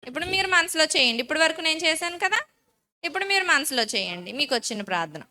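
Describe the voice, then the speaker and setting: A woman's voice: sits high, very animated, rapid-fire, sharp tone. A woman, a Sunday school teacher instructing and leading children firmly in short phrases.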